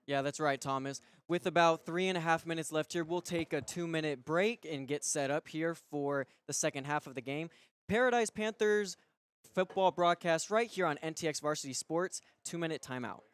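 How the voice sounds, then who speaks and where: A man's voice: on the high side, moderate pace, fairly bright tone, clear, resonant tone, dry recording. Man co-commentating in a dry sound. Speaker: sports radio co-commentator. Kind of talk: conversational live sports commentary.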